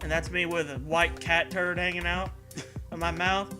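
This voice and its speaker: A man's voice: sits high, level tone, normal speed, music in the background. A man co-hosting a comedy show, giving casual commentary in a crude, profane, riffing manner.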